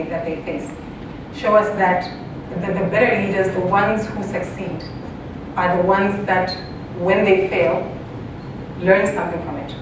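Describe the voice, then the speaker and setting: A woman from Zambia and Botswana giving a public talk, speaking haltingly with repeated words and frequent pauses. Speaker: university faculty member, guest speaker. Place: large echoey room, live talk. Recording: echoey hall, distant mic, noisy rumble.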